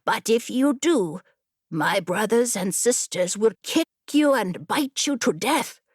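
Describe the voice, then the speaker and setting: A woman's voice: mid-range voice, animated, slow pace, breathy edge, no room sound, studio quality. A woman voicing a small, brave horse guarding its herd in an audiobook fable, earnest and defiant.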